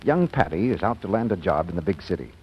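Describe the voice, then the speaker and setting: An American man announces in polished old-time radio style, emphatic and very varied in pitch. The recording is muffled and noisy. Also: big pitch swings, fast. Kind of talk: 1940s radio scripted announcing and advertising.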